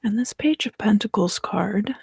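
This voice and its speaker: A woman giving a soft-spoken, partly whispered ASMR tarot reading in a breathy, gentle, unhurried way, pausing between short phrases close to the microphone. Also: mid-range voice, no room sound. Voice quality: breathy, hushed. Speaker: tarot reader hosting an ASMR video.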